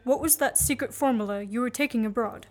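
A woman takes the part of a falsely accused man in a radio drama. The voice sits fairly high and carries determination and dry wit. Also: fairly quick, close to an everyday voice. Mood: gentle, insistent. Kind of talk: radio play acting.

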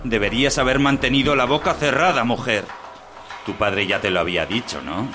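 An actor playing a vain, short-tempered working-class husband, defensive and domineering, in an audio drama. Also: male voice, mid-range voice, brisk, noisy background, natural voice. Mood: angry, menacing.

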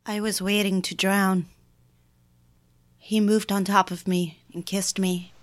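A woman's voice: medium pitch, little pitch movement, slow, a little hiss or hum, lightly acted. A woman acting the part of a frightened, pleading young wife in an audio drama, anxious and eager to please. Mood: numb, hushed.

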